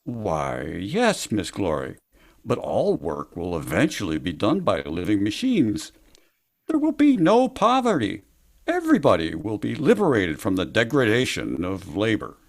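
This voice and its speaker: A man playing a brisk, profit-minded business manager with an emphatic manner in a 1930s-style radio drama. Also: fairly low pitch, huge pitch swings, full character voice. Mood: visionary.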